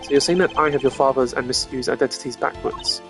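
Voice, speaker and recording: A man voicing a stern, proud young prosecutor from a video game, speaking in a formal, measured, stiff manner. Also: mid-range voice, close to an everyday voice. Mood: grave, composed. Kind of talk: voiced reading of video game dialogue. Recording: game music behind the voice. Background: game music.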